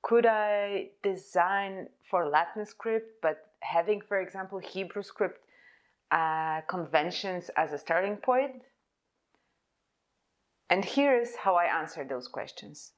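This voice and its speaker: Low-voiced young woman. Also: slow. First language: Polish. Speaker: conference speaker, type designer. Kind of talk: prepared conference presentation.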